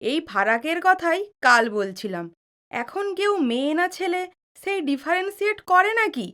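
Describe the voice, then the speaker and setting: A woman plays a cheeky young daughter in an audio drama. Her voice is high and bright with bouncy, varied pitch. She speaks in a teasing, headstrong way. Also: lively intonation, normal speed, exaggerated voice. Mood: insistent. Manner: teasing, mixes in English.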